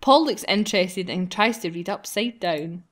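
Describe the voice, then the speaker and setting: A woman's voice: medium pitch, expressive, moderate pace. A woman hosting a casual group let's play, chatting and reading game text aloud in a playful, giggly way. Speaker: gaming channel host.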